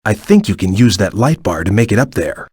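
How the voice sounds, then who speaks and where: A man voicing a stern, watchful cartoon ninja guide in a video game, with a measured, formal, cautious way of advising. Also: lowish voice, sing-song, a little mellow, breathy edge, no echo, studio quality, full character voice. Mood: cautious, instructive. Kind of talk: video game voice acting, short hint lines.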